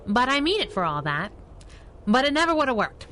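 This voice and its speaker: Brief: streetwise crimefighting sidekick, sassy and knowing, animated pitch, bright edge, old-time radio serial. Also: female voice, fairly quick, a little hiss or hum, exaggerated voice. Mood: playful, knowing. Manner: wisecracking, teasing, knowing. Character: sassy masked heroine, teasing, brash, streetwise young woman. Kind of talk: 1930s radio adventure serial acting.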